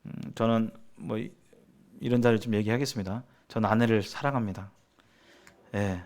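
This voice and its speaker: A middle-aged man from South Korea teaching in a sermon-like seminar style, explaining haltingly and restating his phrases as he goes. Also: fairly low pitch, steady tone, a little mellow. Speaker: church seminar preacher.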